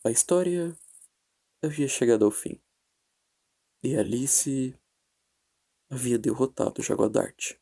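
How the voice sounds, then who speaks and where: A Brazilian man narrating a scripted horror analysis in a measured, dramatic way with deliberate pauses. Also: medium pitch, expressive, creaky, dark tone. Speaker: narrator and video essayist. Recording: background music under the voice. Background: music.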